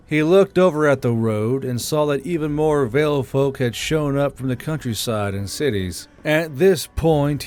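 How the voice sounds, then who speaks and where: A man narrating an audiobook in a plain, unhurried way, then casually thanking channel supporters. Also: medium pitch, darkish tone. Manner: plain, unhurried storytelling; casual and blunt outro.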